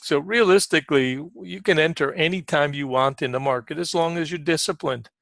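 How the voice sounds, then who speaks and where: A man with a fry-laden, fairly high voice coaches traders in loose, conversational live commentary. He repeats short phrases for emphasis and clears his throat often. The sound is close and dry. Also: fairly quick, fairly bright tone, dry recording. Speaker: trading coach giving market commentary. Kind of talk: informal live market commentary.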